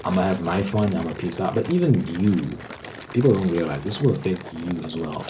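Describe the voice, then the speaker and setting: A man's voice: deep voice, lively intonation, brisk. A man hosting an ASMR video, rambling casually in an informal monologue and repeating phrases for emphasis. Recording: clear typing and crinkling noise.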